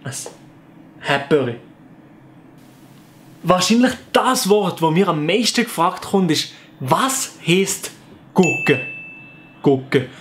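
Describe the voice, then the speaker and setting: A young man from Fribourg speaks in a lively, playful way with a Sensler Swiss German accent. His voice sits high. He talks to the camera at home, with a faint hiss in the background. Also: takes it slowly, pauses often. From Canton of Fribourg, Switzerland. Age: young adult. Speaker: YouTuber. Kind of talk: casual dialect explainer.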